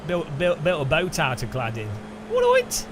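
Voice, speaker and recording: A man with an English Midlands accent, commentating a sim racing live stream with jokey, animated banter and sudden high-pitched outbursts. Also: moderate pace, noisy background.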